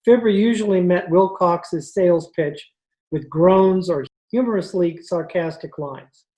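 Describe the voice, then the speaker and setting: A woman's voice: fairly low pitch, unhurried, warm tone. A woman announcer reading scripted narration for an old-time radio show. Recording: video call recording.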